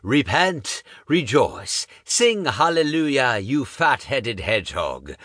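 A man's voice: mid-range voice, very animated, slow pace, fairly bright tone, no echo, clean studio sound, heavily acted. A man voicing a bold, fervent preacher who harangues a monster with sermons and insults, his voice almost singing. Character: bold fire-and-brimstone male preacher, booming.

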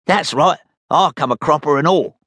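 A man voicing a rough, working-class petty crook with ungrammatical common speech. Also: fairly high pitch, normal speed, a bit bright, no room sound, pitched above the performer's own voice. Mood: cheeky.

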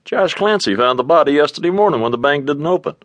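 An actor playing a big, talkative livery stable owner in a western audio drama, with a folksy, colloquial way of talking. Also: male voice, on the high side, animated, fast, fairly bright tone, slight background noise. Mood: curious, gossipy.

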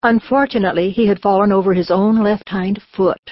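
A woman reading a children's storybook as an audiobook narrator, in an expressive storytelling manner. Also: mid-range voice, a little fast, no room sound. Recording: dull, lo-fi audiobook sound.

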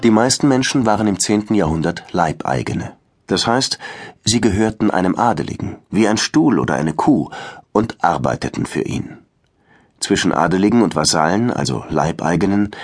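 A man narrating a scripted children's history audiobook in a measured storytelling manner. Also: sits low.

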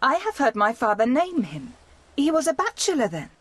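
A woman's voice: high-pitched, sing-song, moderate pace, fairly bright tone, dry recording, full character voice. A woman playing a shipwrecked young noblewoman, grieving yet hopeful, in emotive Shakespearean audio drama. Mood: hopeful, curious.

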